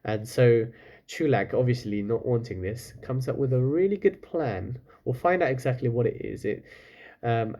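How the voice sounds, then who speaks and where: A man's voice: mid-range voice, mellow. A man reading a children's book aloud expressively, stopping now and then for casual, chatty asides to his listeners. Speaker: storyteller, reader.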